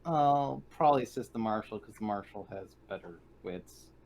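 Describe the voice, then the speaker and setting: A man's voice: on the low side, animated, normal speed. A man playing a tabletop roleplaying game, describing his character's actions in the third person. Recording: faint background noise on the mic.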